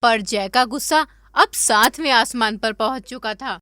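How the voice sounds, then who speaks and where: A woman narrating a scripted animated story as its storyteller. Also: on the high side, unhurried, dry recording, clean studio sound.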